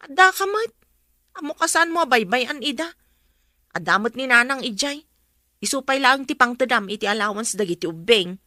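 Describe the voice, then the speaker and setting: Pleading Ilocano mother, bright voice. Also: fairly high pitch, expressive, normal speed, no room sound, clean studio sound. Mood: defiant, upset. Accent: Filipino, Ilocano. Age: adult. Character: Cora, weary regretful mother who left her children. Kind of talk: Ilocano radio drama acting.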